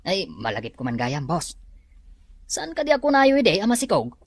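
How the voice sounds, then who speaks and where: Animated chatty male sidekick acted out. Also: high voice, normal speed, husky, no room sound, full character voice. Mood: curious, deferential. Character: chatty, curious male underling talking to boss. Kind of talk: Ilocano radio-style drama acting. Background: music.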